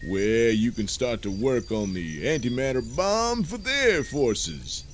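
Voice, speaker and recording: A man's voice: medium pitch, sing-song, slow, a little hiss or hum. A man playing an awkward, bumbling space commander in a comic sci-fi cartoon, hesitant and stammering. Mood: distracted, instructing.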